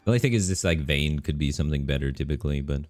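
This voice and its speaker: A man streaming a game live, talking in a relaxed, rambling way with lots of fillers, his voice bassy on a studio microphone at his home setup. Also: racing, no echo, soft background music. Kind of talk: casual live-stream commentary. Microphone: studio microphone through an audio interface.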